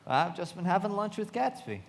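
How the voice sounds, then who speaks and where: A man's voice: high-pitched, very animated, brisk. An actor playing an earnest, curious young man who also narrates a live radio play, recorded in an echoey hall. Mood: narrating. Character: curious, naive young man narrating his own story. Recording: echoey hall with long reverb.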